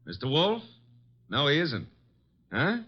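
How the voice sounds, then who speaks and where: A man's voice: fairly high pitch, wildly swooping pitch, takes it slowly, full character voice. An actor playing a breezy, girl-smitten detective's assistant who narrates an old radio drama with wry teasing. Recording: muffled vintage radio broadcast.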